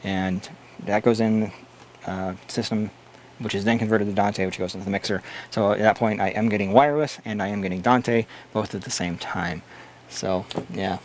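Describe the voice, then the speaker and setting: Low-voiced man hosting a tech livestream. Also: fairly even intonation, moderate pace, slight background noise. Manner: opinionated, blunt. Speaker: host answering live chat questions. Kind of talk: casual tech explainer livestream.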